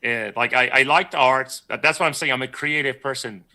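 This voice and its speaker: Male guest from Iran, bright forceful tone, loose rambling storyteller. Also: mid-range voice, brisk. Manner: rambling, self-correcting storyteller, laughs often. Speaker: guest artist. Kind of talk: casual livestream chat.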